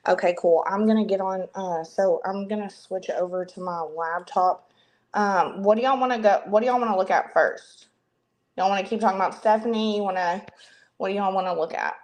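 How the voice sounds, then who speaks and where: A woman's voice: medium pitch, a little fast, darkish tone. A woman hosting a casual livestream chat, chatty, with lots of fillers and restarts. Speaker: livestream host.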